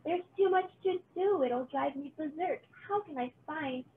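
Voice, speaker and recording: A wicked old storybook witch, played by a woman, high-pitched and snappish. She screeches bossy, menacing orders. The recording is thin, with some noise behind it. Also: a little slow, phone-quality sound. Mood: scheming, exasperated.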